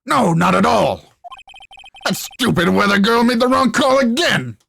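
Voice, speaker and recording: Man putting on a pompous, irritable gravelly doctor. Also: high-pitched, animated, measured pace, sharp tone, faint music behind, full character voice. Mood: indignant. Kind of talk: fan voice-over of a video game.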